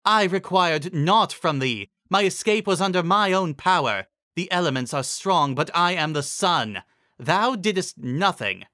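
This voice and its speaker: A man acts out a haughty alicorn queen from a fantasy storybook. The voice is lifted and bright, with grandiose, old-fashioned phrasing and a commanding, easily angered temper. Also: high-pitched, expressive, unhurried, no room sound, clean studio sound, voice pushed up, heavily acted. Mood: wrathful. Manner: grandiose, archaic, commanding speech. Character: imperious, wrathful alicorn queen of the sun, haughty and archaic.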